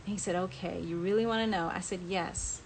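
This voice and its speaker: A woman's voice: on the low side, fairly even intonation, brisk, slight background noise, poor audio. A woman telling a personal anecdote in a casual, chatty way on a livestream, acting out bits of dialogue as she goes. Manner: chatty, acts out quoted dialogue. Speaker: author sharing personal memories on a livestream. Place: live social media stream.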